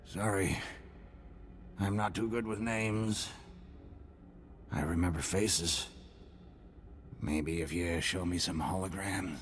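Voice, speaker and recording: An actor playing a captured Republic commander who is wry and stubborn. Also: male voice, low voice, slow pace, long pauses, a little hiss or hum. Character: defiant captured male Republic commander, dry-witted. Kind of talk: video game cutscene acting.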